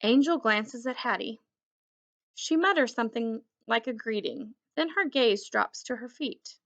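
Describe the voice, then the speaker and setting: A woman reading a children's story aloud in an expressive, deliberate storytelling manner. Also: on the high side, gravelly, highs cut off. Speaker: children's book reader.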